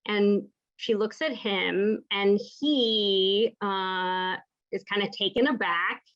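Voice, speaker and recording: A woman's voice: medium pitch, slow, a bit bright, muffled recording. A woman from the United States who works as a palliative care clinician, telling a story from practice in a thoughtful, conversational way and often checking in with "right?". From United States (Pacific Northwest). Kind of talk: reflective storytelling in a lecture.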